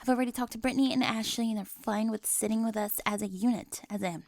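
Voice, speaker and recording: Woman playing a prim, high-voiced controlling teen girl. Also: steady tone, quick pace, vocal fry, a little mellow, dry recording. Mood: officious, insistent. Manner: fussy, officious, over-precise, flustered. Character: prim, controlling, hyper-organized popular teen girl planning prom. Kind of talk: scripted ASMR audio roleplay.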